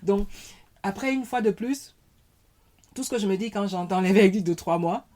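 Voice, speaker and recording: A woman, a podcast guest speaking in a thoughtful, halting way as she searches for words. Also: medium pitch, mellow. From Cameroon. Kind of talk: casual conversation.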